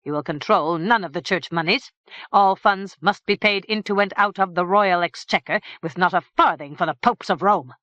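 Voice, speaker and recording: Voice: mid-range voice, normal speed, sharp tone, no room sound, muffled recording, pitched above the performer's own voice, lightly acted. An audiobook narrator voicing a harsh, menacing middle-aged king who speaks in cold, curt threats.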